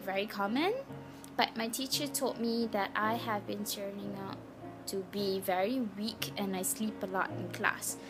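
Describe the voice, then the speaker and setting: A nineteen-year-old woman from Malaysia, a native Malay speaker, talking in a casual, hesitant and apologetic way with a Malaysian English accent. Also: on the high side. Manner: hesitant, chatty, apologetic, with many restarts. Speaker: vlogger sharing her cancer story. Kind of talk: casual personal vlog. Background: background music.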